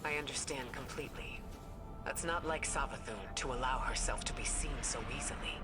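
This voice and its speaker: An actress playing a grave, thoughtful commander and lore keeper in a science fiction video game, with a measured, solemn delivery. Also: female voice, a bass voice, over loud music. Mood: concerned, astonished. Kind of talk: cinematic video game acting and narration.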